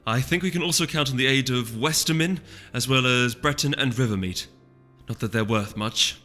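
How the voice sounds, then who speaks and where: A man's voice: medium pitch, moderate pace, pushed and bright, lightly acted. A man voicing an imperious, frustrated noble mare duchess in a fantasy storybook, with a haughty, emphatic delivery. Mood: insistent, urgent. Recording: long reverb, music underneath.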